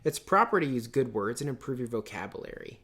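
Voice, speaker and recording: A man's voice: medium pitch, very animated, a little fast, fairly bright tone. A man voicing a vain, affected youngest sister in a storybook, prim and petulant with an air of dignity. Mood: indignant.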